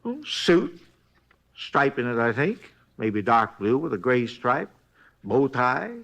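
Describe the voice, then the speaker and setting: An actor playing a folksy, talkative hotel night clerk in a 1950s radio drama, rambling along in plain, ungrammatical speech. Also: male voice, mid-range voice, very animated, takes it slowly, a bit bright, lo-fi sound, exaggerated voice. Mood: chatty. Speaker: robbery witness.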